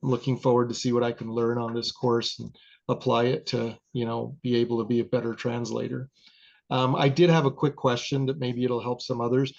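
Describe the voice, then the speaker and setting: A man's voice: medium pitch, fairly quick, gravelly. A man, a PE teacher, introducing himself in an online meeting with fillers. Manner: flat, with fillers. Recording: dull, lo-fi online call audio.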